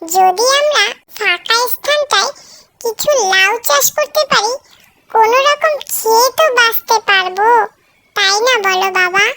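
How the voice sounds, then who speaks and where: A woman's voice: very high-pitched, expressive, unhurried, sharp tone, full character voice. A woman voicing a clever, polite young village boy in a moral cartoon, eager to help. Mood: eager, hopeful. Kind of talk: cartoon voice acting, moral tale.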